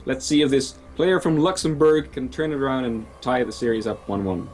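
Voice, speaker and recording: A man from the Netherlands casting a video game match from home, explaining in a measured way with frequent fillers. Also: mid-range voice, a little mellow. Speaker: esports caster. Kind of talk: casual game commentary. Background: faint music.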